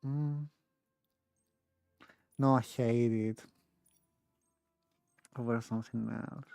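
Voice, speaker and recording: A creaky-voiced streamer gives casual gameplay commentary in a slow, unhurried way. The talk is low-key self-directed muttering, recorded dry and close with music underneath. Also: fairly even intonation, warm tone, no echo. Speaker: game streamer.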